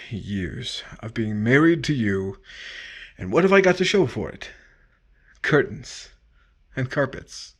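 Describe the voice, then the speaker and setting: A man playing a bitter, resentful husband in a radio drama, accusing his wife in a deliberate way with pointed pauses. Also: mid-range voice, fairly bright tone, breathy edge, heavily acted. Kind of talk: old-time radio drama acting.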